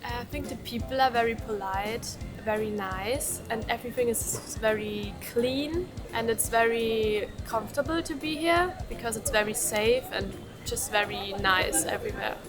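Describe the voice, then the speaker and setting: A woman's voice: a little high, normal speed, few pauses. A woman from Europe answering casually and simply as a traveller in a street interview. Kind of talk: casual chat. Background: background music.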